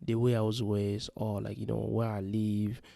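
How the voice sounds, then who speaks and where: Man, gravelly voice, dark tone, dry close mic, earnest rambling vlog talk. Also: lowish voice, level tone, normal speed, no room sound. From Congo. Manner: rambling, earnest, many fillers like "you know". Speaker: vlogger giving parenting advice. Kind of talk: casual spoken vlog monologue. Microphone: handheld or close mic.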